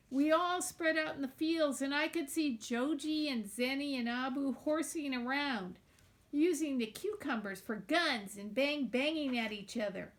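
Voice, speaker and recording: A woman reading a children's novel aloud in a deliberate, expressive storytelling manner, like a teacher with a class book. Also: sits high.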